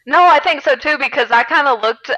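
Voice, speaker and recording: A woman with a fairly high, bright voice chats as a podcast co-host. She talks informally about films, with a wry, conversational delivery. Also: a little fast, rough recording. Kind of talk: casual film discussion podcast chat.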